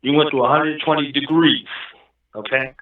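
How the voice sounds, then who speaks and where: A man's voice: a little high, steady tone, moderate pace, far from the mic. Echoey phone-line man explaining at length. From Newark, New Jersey. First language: Arabic. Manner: explains at length, keeps asking "you understand what I'm saying". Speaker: guest. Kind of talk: casual interview talk.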